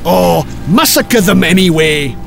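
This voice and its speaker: A bold, scheming Viking chief from a storybook, acted out by a male narrator. He is hearty and cruel, with a high voice that leaps about theatrically. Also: sing-song, takes it slowly, gravelly, a bit bright, faint music behind, exaggerated voice. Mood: careless.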